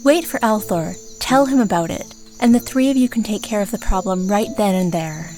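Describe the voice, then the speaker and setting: A mid-pitched voice for a level-headed forest woman in a fantasy audio drama, played by a woman. She is calm and practical, and soft music sits behind her. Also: moderate pace, lightly acted.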